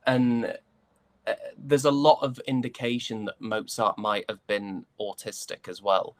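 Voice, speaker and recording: British guy w softened yorkshire accent, lowish voice, rambly thoughtful interview chat, dry close sound. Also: level tone, measured pace, dry recording. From Yorkshire, England. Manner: reflective, rambling, hesitant. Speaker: interview guest, stage and voice actor.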